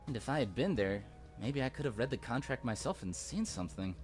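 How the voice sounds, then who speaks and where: A man playing a sardonic young man, lively pitch. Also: brisk, soft background music, natural voice. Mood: bitter, guilty. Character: guilt-ridden young man, a bitter house employee. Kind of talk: video game voice acting.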